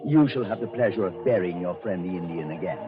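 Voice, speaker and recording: An actor playing a coldly menacing, arrogant colonel, in 1940s radio style, formally polite and sardonic. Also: male voice, deep voice, lively intonation, fast. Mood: cold, threatening. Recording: muffled 1940s radio recording with music.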